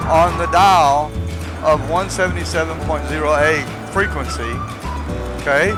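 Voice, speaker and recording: A man giving a casual step-by-step video game tutorial, often checking in with the listener as he explains. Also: high-pitched. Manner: repeatedly checks in with "see" and "okay". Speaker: gamer making a tutorial video. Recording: loud music playing behind the voice. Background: game music and vehicle sounds.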